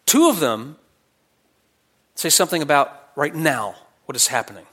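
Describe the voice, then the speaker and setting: A man preaching in an expository style, reading verses and explaining them conversationally, posing questions and answering them, swinging between emphatic phrases and quieter asides. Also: on the high side, sing-song, normal speed, slightly rough. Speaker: preacher teaching scripture.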